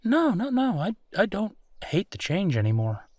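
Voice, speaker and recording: A man playing a friendly, easygoing werewolf boyfriend in human form, talking in a musing, conversational way with hesitant pauses in an intimate roleplay audio. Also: high-pitched, huge pitch swings, darkish tone, no room sound, full character voice. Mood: reflective, candid.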